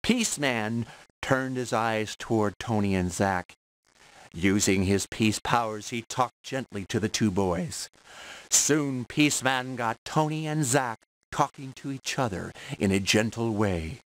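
Slow-paced male children's storybook narrator. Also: mid-range voice, animated, rough recording. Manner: measured read-aloud delivery for young children.

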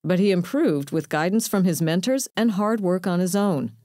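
Low, mellow-voiced woman reporter. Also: dry recording, clean studio sound. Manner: measured, even reading. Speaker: news reporter. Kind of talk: scripted news narration.

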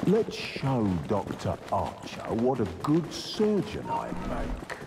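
An actor playing a cold, calculating Victorian killer in a video game, speaking low and deliberately. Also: male voice, huge pitch swings, very noisy, heavily acted. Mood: menacing. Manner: low, deliberate, sinister muttering. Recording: game audio under loud street ambience.

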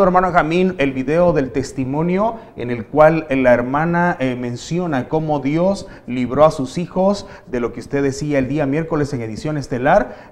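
A middle-aged man anchoring a live, conversational newscast from a TV studio. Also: fairly high pitch. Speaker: news anchor.

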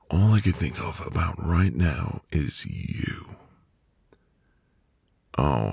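A man playing a sweet, reassuring newlywed husband in an intimate ASMR roleplay, with a gravelly, breathy voice and a gentle manner. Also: rumbling low, no room sound, studio quality, exaggerated voice. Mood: tender. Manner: slow, gentle, soothing. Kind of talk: ASMR boyfriend roleplay, intimate soft delivery.

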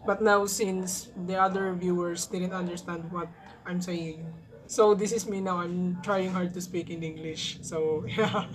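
A young Filipino woman whose first language is Tagalog, speaking careful English with a Filipino accent as she reacts excitedly to a music video in a small room. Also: lowish voice, a little slow, low-quality recording. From Philippines. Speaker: YouTube reactor. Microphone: headset, facing camera.

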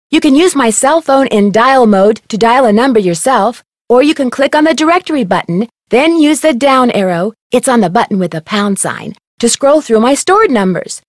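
Upbeat young girl detective, bright friendly tutorial voice, kinda high. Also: no room sound, studio quality. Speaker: game narrator and guide. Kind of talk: video game voice acting.

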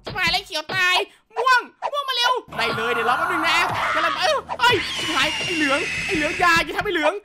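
Squeaky, panicky little cartoon crewmate. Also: sing-song, quick pace, few pauses, very noisy. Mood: panicked, shouting. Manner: frantic, exclaiming, repeating words in bursts. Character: panicky, foul-mouthed little cartoon crewmate, excitable and bossy. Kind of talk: animated cartoon parody dubbing. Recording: loud music over the voice.

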